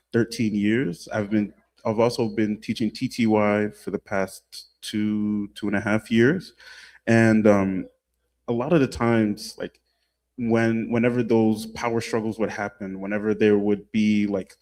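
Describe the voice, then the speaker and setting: Young man, low voice, measured. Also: a little mellow. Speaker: youth teacher. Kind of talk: panel discussion.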